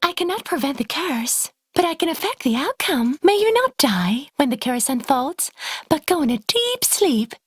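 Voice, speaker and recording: A woman voicing a kindly good fairy from a children's fairy tale, with a tender, solemn, gentle delivery. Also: high voice, big pitch swings, moderate pace, no room sound, clean studio sound, pitched above the performer's own voice.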